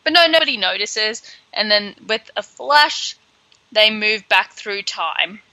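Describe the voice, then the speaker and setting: A woman co-hosting a podcast, chatting casually through a book with animated, sardonic asides. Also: medium pitch, unhurried, no echo, low-quality recording. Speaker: podcast co-host. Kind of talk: casual book read-along chat.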